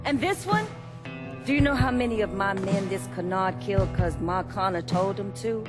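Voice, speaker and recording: An actress playing a fierce crime boss in a video game, blunt, profane and confrontational. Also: female voice, mid-range voice, expressive, moderate pace, lightly acted. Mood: bitter, defiant. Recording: music behind the dialogue.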